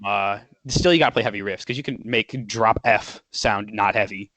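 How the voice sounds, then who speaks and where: A man's voice: lowish voice, normal speed, a bit bright. Enthusiastic man hosting an interview. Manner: enthusiastic, lots of "like" and "dude". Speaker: interview host. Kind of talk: casual interview chat.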